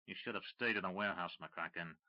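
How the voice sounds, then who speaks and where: A man voicing a cold, ruthless male smuggler boss who speaks in a low, clipped, contemptuous way. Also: brisk, muffled recording, close to an everyday voice. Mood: cold menace.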